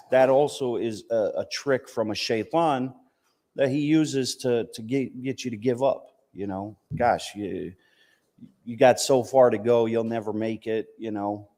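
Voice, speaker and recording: A man's voice: mid-range voice, a little mellow. American man speaking in a reverberant hall. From United States, Southern family from West Virginia, partly raised in Palestine. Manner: conversational, punctuated with "you know" and "right?" checks. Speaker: Islamic teacher giving a talk. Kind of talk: informal religious talk with personal testimony.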